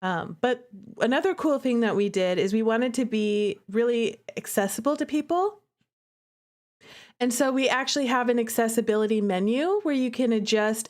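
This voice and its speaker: High-voiced, playful woman streamer. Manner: playful, animated, chatty, addressing viewers directly. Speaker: streamer and visual novel creator hosting a playthrough. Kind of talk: casual livestream chat and game commentary. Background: music playing behind the voice.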